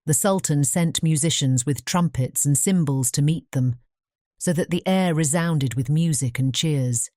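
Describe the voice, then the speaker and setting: A woman narrating a scripted fairy tale as an audiobook, in a measured storytelling manner. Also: deep voice, warm tone, dry recording, studio quality.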